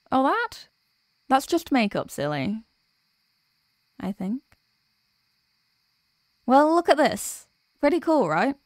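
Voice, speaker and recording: Female performer as sweet workaholic girlfriend for ASMR roleplay. Highish voice, unhurried, soft, drowsy and stubborn, close and dry. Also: no room sound. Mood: upbeat, distracted.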